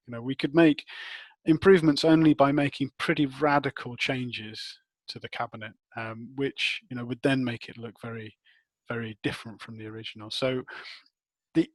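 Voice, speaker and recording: A man's voice: medium pitch, steady tone, moderate pace, no echo, poor audio. A man giving technical interview answers as an expert, thinking aloud and often rephrasing as he goes. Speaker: interviewed expert, head of research and development.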